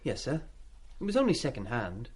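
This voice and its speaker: A man's voice: mid-range voice, huge pitch swings, normal speed, darkish tone, breathy edge. An actor playing a shy, eager schoolboy in a radio play, speaking politely and diffidently to his master.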